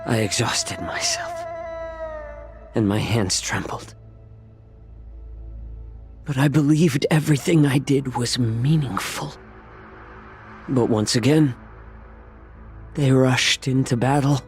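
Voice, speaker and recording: A man playing a weary, resolute healer in a dramatic video game, speaking gravely and sorrowfully with measured restraint. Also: mid-range voice, soft background music.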